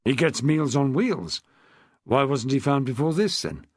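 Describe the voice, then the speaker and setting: A man's voice: medium pitch, animated, a little slow, husky, no room sound, clean studio sound. Man doing a probing detective. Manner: pointed, probing questions. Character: probing middle-aged male detective.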